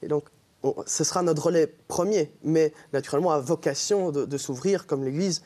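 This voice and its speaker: A man's voice: fairly high pitch, a little fast, dark tone. A young man with a Belgian French accent, earnestly explaining as a guest in a TV interview. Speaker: student group member, guest. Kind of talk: TV interview answers.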